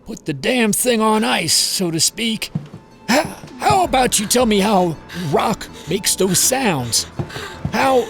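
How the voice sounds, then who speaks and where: Older uncle, audio drama, gruff but kind, folksy, plain-spoken, faint music behind. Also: male voice, sits high, sing-song, slow pace, fairly bright tone, full character voice. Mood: alarmed.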